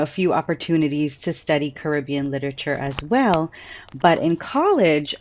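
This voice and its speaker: A woman with an American accent, an author answering interview questions in a lively storytelling way and laughing often. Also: sits low, dull audio. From Trinidad. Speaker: author and guest.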